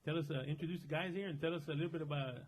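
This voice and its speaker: A man hosting an internet radio show, chatting casually and loudly with studio guests. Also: on the high side, fairly even intonation, racing. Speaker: radio host.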